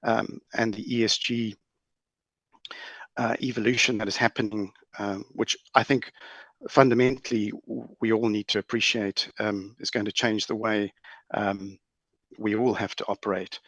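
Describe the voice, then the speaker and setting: A middle-aged man with a South African accent answering as a panel guest in a measured, hesitant, formal way. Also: fairly low pitch, flat delivery, fairly bright tone, no echo, rough recording. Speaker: corporate lawyer, panel guest. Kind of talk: considered panel discussion answers.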